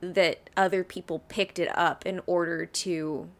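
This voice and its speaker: Podcast co-host, female, lower voice, relaxed and chatty while telling a true crime case. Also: a little slow. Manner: chatty, laughs often. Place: Ohio.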